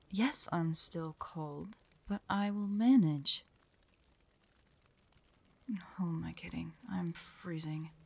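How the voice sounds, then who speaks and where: A woman voicing a guarded, proud young hunter in an audio roleplay, terse and prickly, often dropping into hushed, breathy asides. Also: low-pitched, no echo, full character voice. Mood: grudging. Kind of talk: dramatic audio roleplay, addressed to an unheard listener.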